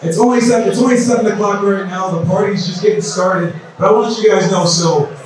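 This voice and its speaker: A man's voice: high-pitched, fairly quick, slight background noise. A man in his thirties, originally from South Sudan, emceeing a campaign event in loose, repetitive crowd-rousing talk. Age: 30s. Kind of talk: casual speech at a campaign event. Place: large indoor event hall. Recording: echoey hall, mic from far off.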